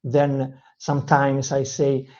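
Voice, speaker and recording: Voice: mid-range voice, monotone, slow pace, dark tone, highs cut off. A speaker with an Italian accent, Italian by first language, giving an earnest motivational English lesson with frequent hesitations and self-corrections. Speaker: English-learning channel host. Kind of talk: motivational teaching talk.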